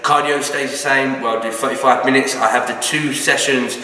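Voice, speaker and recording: A British man with a croaky, just-woken voice, talking casually to camera about his training and diet in a brisk, matter-of-fact way. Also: medium pitch, steady tone, bright, forceful tone. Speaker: bodybuilding vlogger. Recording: echoey room, from a distance.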